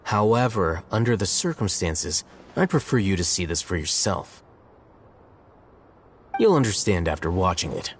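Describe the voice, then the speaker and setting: A man voicing a calm, aloof male scholar speaking in a dry, matter-of-fact way. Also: low-pitched, normal speed, long pauses, slight background noise. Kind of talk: video game dialogue.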